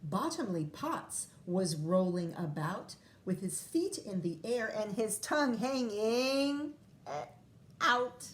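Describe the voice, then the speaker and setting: Unhurried woman teacher reading to young children. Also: mid-range voice. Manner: expressive, drawn-out reading with chatty asides to children. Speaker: first-grade teacher. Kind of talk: read-aloud picture book storytelling for young children.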